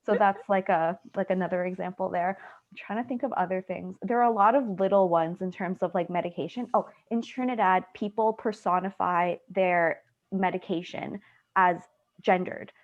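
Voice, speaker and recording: A woman's voice: on the low side, moderate pace. Chatty young woman on a muffled call. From Canada. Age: young adult. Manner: chatty, many "like" fillers, quotes people. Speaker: student researcher panelist. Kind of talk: casual explanatory answer. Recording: muffled video call audio.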